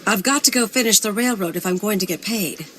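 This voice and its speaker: An actress playing a tough, blunt American frontier railroad boss in a game cutscene, brusque and no-nonsense. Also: female voice, medium pitch, fairly quick, a bit bright, slight background noise, lightly acted. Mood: wary. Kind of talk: video game cutscene acting.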